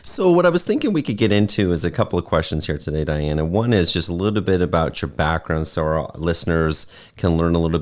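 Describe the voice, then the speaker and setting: A man with a low, deep voice hosts a business and personal-growth podcast. He asks his guest questions in a friendly, measured way, often pausing on uh. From California. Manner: friendly, measured, frequent uhs. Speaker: podcast host. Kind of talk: interview hosting.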